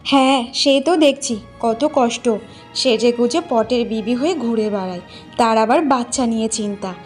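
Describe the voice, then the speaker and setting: Woman doing a mean scolding sister-in-law for a cartoon, sarcastic, highish voice, bit of music under. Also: moderate pace, darkish tone, natural voice. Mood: sarcastic, scornful. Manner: taunting, sarcastic, disapproving. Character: spiteful, scolding sister-in-law in a village family. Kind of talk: Bengali moral cartoon voice acting.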